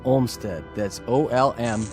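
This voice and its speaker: An actor playing a stubborn, plain-spoken bus driver in a radio play, folksy and blunt. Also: male voice, medium pitch, slow, a little mellow, close to an everyday voice. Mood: certain. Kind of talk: dramatic radio play acting. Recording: radio play with music underneath.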